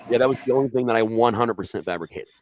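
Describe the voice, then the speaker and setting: A man's voice: mid-range voice, darkish tone, no room sound. A man who tells stories, chatting bluntly and profanely on a video call. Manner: blunt and profane, fast-talking. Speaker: storyteller. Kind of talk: casual chat on a video call.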